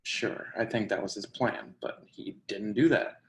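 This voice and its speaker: An actor playing a tough, grungy twenty-four-year-old in a play reading, casual, defensive and slangy. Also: male voice, on the low side, measured pace, gravelly. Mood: matter-of-fact. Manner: slangy, profane, conversational. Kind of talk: remote play reading, naturalistic casual dialogue. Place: indoor room, remote video call. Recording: roomy, echoey video call.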